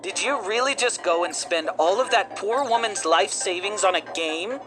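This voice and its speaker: A woman's voice: medium pitch, animated, normal speed, pitched above the performer's own voice, lightly acted. A man voicing a stern, disbelieving mother, over music. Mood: shocked. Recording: narration over loud music.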